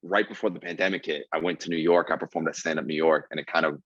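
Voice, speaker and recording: A young man from Ohio with a very low voice talks earnestly about his path, padding his sentences with fillers. The sound is dry and a little dull, like a close podcast mic with the top end rolled off. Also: fairly even intonation, fast, a bit bright, no room sound. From Ohio, USA. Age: 20s. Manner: earnest storytelling with many fillers. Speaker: guest comedian. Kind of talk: casual podcast interview.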